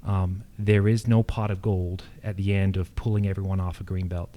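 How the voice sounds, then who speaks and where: Brief: American man, low pitch, very soft mellow tone, halting explanatory delivery as a political candidate answering questions. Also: level tone. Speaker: incumbent candidate for county assessor. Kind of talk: extemporaneous answers at a candidate forum.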